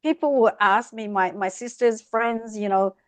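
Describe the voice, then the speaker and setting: Podcast guest: middle-aged woman, expressive storyteller, dry close sound. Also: fairly high pitch, normal speed, dry recording. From Vietnam. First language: Vietnamese. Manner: lively, expressive, speeds up in bursts. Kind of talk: casual interview storytelling.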